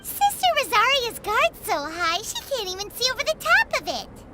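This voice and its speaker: A woman voicing a small, excitable, blunt fairy companion in a video game who talks about herself in the third person. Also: very high-pitched, moderate pace, very noisy. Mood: grumbling. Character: tiny excitable floating fairy companion, female.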